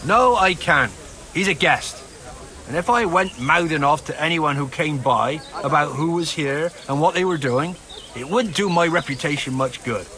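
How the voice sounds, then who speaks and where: Cagey medieval innkeeper, bright forceful voice, highish pitch, huffy, self-important, gossipy, video game cutscene. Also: male voice, moderate pace, low-quality recording. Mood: guarded.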